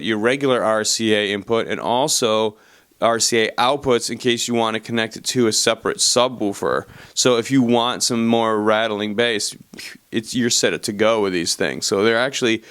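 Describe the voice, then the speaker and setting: Guy doing an off the cuff gear review, clean smooth voice, kinda halting, really dry room. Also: mid-range voice, a bit bright, no echo. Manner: halting, searching for words. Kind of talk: casual unscripted product review.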